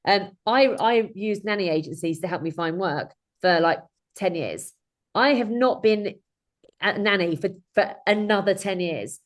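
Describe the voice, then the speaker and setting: Chatty woman hosting an informal webinar. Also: mid-range voice. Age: 30s. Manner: chatty, informal, rambling with frequent self-corrections. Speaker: webinar host in recruitment. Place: indoor room at home or office, on a video call. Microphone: video call microphone.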